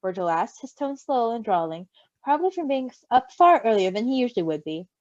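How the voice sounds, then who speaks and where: A woman with a fairly high, lively voice reads a story aloud and drops in gushing asides. Her delivery is very expressive and animated. The recording is close and dry but a little dull and lo-fi. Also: fairly quick, no room sound. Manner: expressive, gushing, giggly reactions. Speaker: story reader. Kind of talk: animated read-aloud of fan fiction with casual asides.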